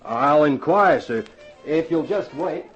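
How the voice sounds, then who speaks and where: Higher-voiced, deferential waiter over music. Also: male voice, lively intonation, slow pace, lo-fi sound. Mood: polite, guarded. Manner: polite, clipped, servile. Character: deferential, guarded nightclub waiter. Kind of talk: 1950s radio drama acting. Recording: old radio recording, music behind.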